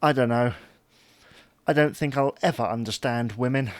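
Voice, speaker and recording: An actor playing a grumbling, self-conscious, henpecked husband in a domestic comedy audio drama, complaining reluctantly and hesitantly. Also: male voice, medium pitch, normal speed, no room sound, natural voice. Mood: aggrieved.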